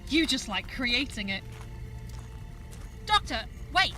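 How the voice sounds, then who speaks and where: An actress plays a paranormal show presenter in an audio drama, feisty and exasperated. Her high voice moves about in lively swoops, emphatic and teasing. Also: slow, soft background music, heavily acted.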